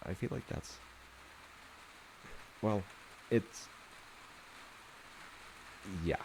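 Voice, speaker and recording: A man with a low, creaky voice tells a story at a slow, unhurried pace. He is conversational and wry, drifting into little asides as if telling a bedtime tale. Rain and hiss sit clearly behind him. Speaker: storyteller in an audio roleplay, playing a dad. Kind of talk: casual bedtime folktale storytelling. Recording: hissy recording with rain noise.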